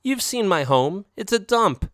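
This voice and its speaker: A man's voice: high-pitched, sing-song, measured pace, a bit bright, no echo, studio quality, voice pushed up, heavily acted. A man voicing a pushy, dramatic young female cat who whines and sobs to get her way. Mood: coaxing. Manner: whiny, tearful, manipulative.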